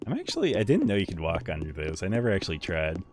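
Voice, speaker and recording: Deep-voiced young man chatting on stream. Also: fast, background noise. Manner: rambling, relaxed, chats with viewers. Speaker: livestreamer. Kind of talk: casual live-stream chat while playing. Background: faint game music.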